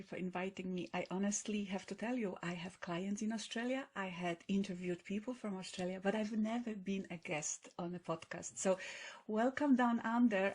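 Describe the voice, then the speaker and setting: A woman's voice: mid-range voice, darkish tone. A middle-aged woman from Poland with a Polish accent, telling her story enthusiastically as a podcast guest. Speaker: guest, coach and author. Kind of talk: podcast interview.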